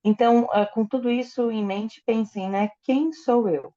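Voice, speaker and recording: A woman interprets a lecture sentence by sentence, filling gaps with hesitations and quick restarts. Her voice is dark and mellow, and the recording sounds somewhat dull and muffled. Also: on the high side. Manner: hesitant, with fillers and self-corrections. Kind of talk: consecutive interpreting into Portuguese.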